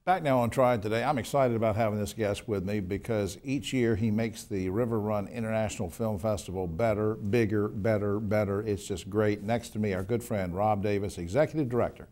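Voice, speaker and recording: A man's voice: lowish voice, steady tone, a little fast, hardly any pauses, a little mellow. A man in his sixties hosting a conversational TV interview in a folksy, enthusiastic manner. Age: 60s. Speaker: TV talk show host.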